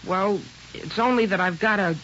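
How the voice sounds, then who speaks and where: An actor playing a naive, nervous sixteen-year-old boy in a 1940s radio drama, speaking haltingly and awkwardly. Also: sits high, pushed and bright, a little hiss or hum. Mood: uneasy, earnest. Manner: hesitant, stammering, awkward. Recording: dull old radio broadcast recording.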